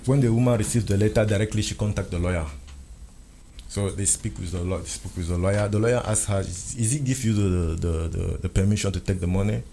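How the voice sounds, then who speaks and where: A man with a lowish voice whose first language is French tells a long personal story in English. He repeats himself and restarts phrases as he insists on each point. A faint hiss of noise sits behind him. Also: fast, creaky, mellow. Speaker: guest. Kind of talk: recounting a personal ordeal in conversation.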